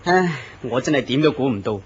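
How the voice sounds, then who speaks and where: A man's voice: medium pitch, animated, fairly quick, a loud crowd, lightly acted. A man voicing a flustered office worker who has been struck by a beautiful woman, earnest in a radio drama. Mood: dazed. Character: dazzled lovestruck male office worker. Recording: muffled lo-fi recording with hiss.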